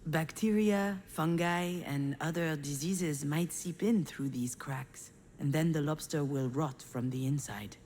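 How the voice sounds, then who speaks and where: An actress playing a failed screenwriter, a cynical, melancholic loner, in a measured, confiding, wry game monologue. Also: female voice, low-pitched, darkish tone, a little hiss or hum. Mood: grim, detached. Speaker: narrator protagonist of a visual novel. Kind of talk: introspective scripted monologue.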